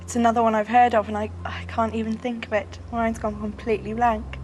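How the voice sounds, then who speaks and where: A woman's voice: a little high, level tone, fast talker, a little mellow, a little hiss or hum. A Welsh woman in her twenties, a quiz contestant, chatty and flustered under pressure. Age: 20s. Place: TV studio.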